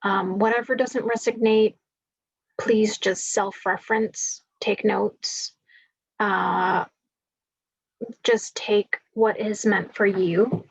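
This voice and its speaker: A woman with a groggy voice giving a spiritual forecast, talking slowly and wandering with many fillers. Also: mid-range voice, steady tone, vocal fry, breathy edge, dry recording, low-quality recording. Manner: slow, meandering, many fillers. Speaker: spiritual reader.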